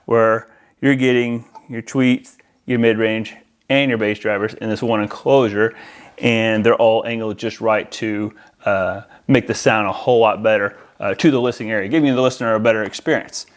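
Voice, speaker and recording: A man's voice: on the low side, fairly even intonation, normal speed. Casual American man presenting a product. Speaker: audio-video installer presenting a product. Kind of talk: casual product unboxing and demonstration. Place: indoors in a house, near a window.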